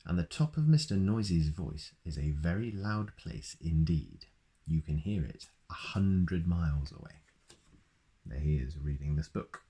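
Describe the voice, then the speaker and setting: A man's voice: very deep voice, animated, a little slow, dark tone. A man reading a children's picture book aloud in a playful way, adding sound effects. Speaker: storyteller.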